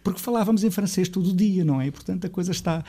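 A middle-aged man from Lisbon with a Lisbon Portuguese accent, talking as a composer in a radio interview. He is thoughtful and often restarts his phrases. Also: rapid-fire. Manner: thoughtful, restarts phrases, rises in pitch when animated. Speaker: composer guest.

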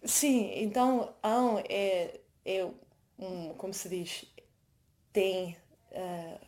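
A woman's voice: medium pitch, drawn-out words, darkish tone. A woman with a mixed Mozambican Portuguese accent, a singer being interviewed, chatting casually and often searching for her words. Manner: hesitant phrasing, searching for words. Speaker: interview guest, singer in a band.

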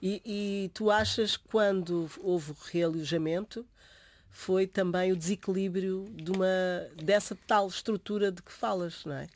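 High-voiced male interviewer. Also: steady tone, normal speed. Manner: soft backchannels, hesitant questions. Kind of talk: casual interview questions.

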